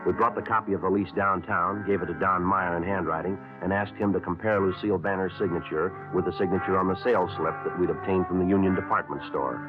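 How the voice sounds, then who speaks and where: An actor playing a terse police sergeant narrating in a clipped, deadpan way, in a 1950s radio drama. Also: male voice, deep voice, quick pace, few pauses, close to an everyday voice. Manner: clipped, deadpan, flat delivery. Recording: tinny old radio broadcast with music.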